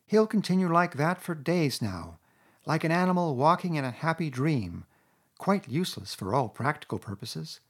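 A man voicing a stern, sensible male water rat who talks firmly and with exasperation, in a husky voice. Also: fairly high pitch, very animated, voice pushed up, full character voice. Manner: firm, brisk, exasperated.

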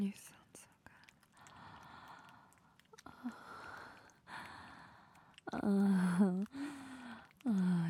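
A young woman whispering softly and soothingly into a close microphone in an intimate ASMR roleplay. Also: medium pitch, slightly rough. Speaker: audio roleplay performer.

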